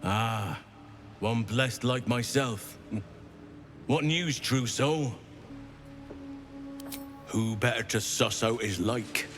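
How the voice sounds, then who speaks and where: A man playing a suspicious, fervent cult zealot on guard in a fantasy game, speaking deliberately. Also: mid-range voice, a bit bright. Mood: wary reverence. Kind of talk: video game character acting. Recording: faint music behind.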